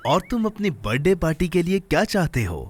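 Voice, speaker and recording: High-voiced, animated cartoon sidekick. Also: male voice, a little fast, music in the background. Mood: helpful, curious. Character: friendly, helpful, teasing cartoon companion of a child hero. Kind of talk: children's cartoon dubbing.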